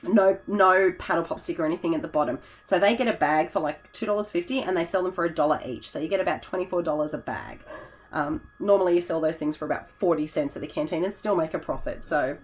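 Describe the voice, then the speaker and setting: A hoarse woman, a teacher chatting casually in a vlog, rambling with fillers and self-corrections. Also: lowish voice, fast. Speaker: teacher and YouTuber.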